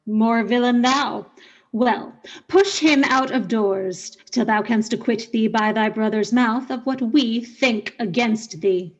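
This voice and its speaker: Cold bossy ruler, highish voice, clipped threats, bit muffled, shakespeare radio play. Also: female voice, slow. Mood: angry, threatening. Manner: commanding, clipped.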